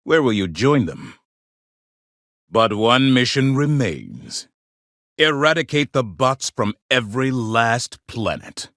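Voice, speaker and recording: An actor playing a solemn, zealous military democracy officer in a video game, speaking in stern, formal, grandiose oratory. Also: male voice, mid-range voice, fairly bright tone, no room sound, studio quality. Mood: urgent, commanding. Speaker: military officer and mission briefer. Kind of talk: scripted video game voice acting.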